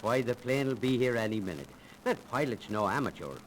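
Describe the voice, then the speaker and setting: An actor playing a folksy, cheerful airfield dispatcher with colloquial, reassuring talk in a 1930s radio drama. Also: male voice, medium pitch, lively intonation, fairly quick, close to an everyday voice. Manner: colloquial, reassuring, chuckling, hollering. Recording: noisy old 1930s radio recording.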